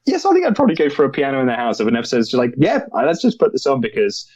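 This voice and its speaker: A man's voice: mid-range voice, wildly swooping pitch, very fast, a little mellow, slightly rough, dry recording, muffled recording. A man talking casually and chattily as a podcast co-host, sharing opinions. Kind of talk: casual chat.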